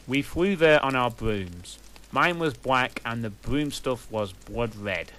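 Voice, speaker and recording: A man reading a badly written story aloud in a slow, deliberate way, mock-dramatic and sarcastic. He reads out the typos and punctuation with dry mockery, in a voice of everyday middle pitch. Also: fairly bright tone, poor audio. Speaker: reader of a fan story.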